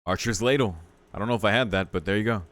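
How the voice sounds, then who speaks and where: A man's voice: fairly low pitch, fast, a bit bright, no echo. A man doing casual gameplay commentary as a video host, reacting with exclamations and mock exasperation.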